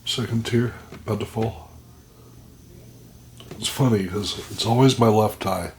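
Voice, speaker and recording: A man on a home vlog who talks haltingly, describing the heat of the pepper he has eaten, his nose running and blocked. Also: fairly low pitch, slight background noise. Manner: halting, describing the burn as it builds. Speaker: pepper reviewer. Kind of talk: casual vlog commentary. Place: indoors at home. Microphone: plugged-in microphone.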